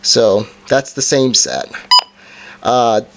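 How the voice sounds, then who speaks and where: A man giving a casual, halting hands-on gadget demonstration in an unscripted way. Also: medium pitch, sing-song. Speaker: product reviewer. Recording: noisy amateur home recording, clicks.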